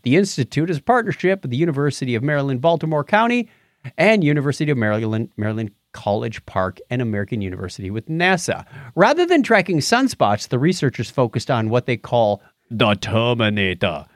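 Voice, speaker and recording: Lively, joking male podcast co-host. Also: mid-range voice, brisk, no echo, studio quality. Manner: jokey, wisecracking. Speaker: co-host of a science news podcast. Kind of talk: casual banter and news commentary.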